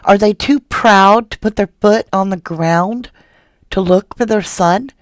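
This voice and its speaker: A woman's voice: mid-range voice, a little slow, dry recording, studio quality. Indignant woman reading viewer comments. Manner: indignant, questioning, rhetorical. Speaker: true crime channel host.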